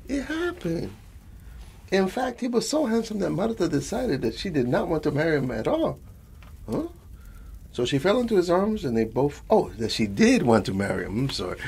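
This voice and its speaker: A man's voice: mid-range voice, a little mellow, slight background noise. A man playing a doting old grandfather who reads a bedtime story in an ASMR roleplay, affectionate and chatty, slipping into endearments.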